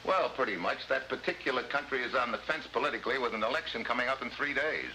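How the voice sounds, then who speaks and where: Hard-boiled American agent, bright forceful voice. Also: male voice, mid-range voice, fast talker. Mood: wry, sardonic. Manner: hard-boiled, sardonic, slangy. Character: tough, wisecracking male American special agent. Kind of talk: 1950s American adventure drama acting. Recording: faintly noisy vintage 1950s TV soundtrack.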